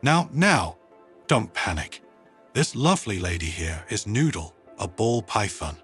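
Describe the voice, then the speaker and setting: A man's voice: lowish voice, very animated, measured pace, a bit bright, faint music behind. A man voicing a mischievous, showy security officer in a storybook, with a playful, theatrical, conversational manner. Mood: playfully theatrical. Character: mischievous, showy male human security officer presenting a snake.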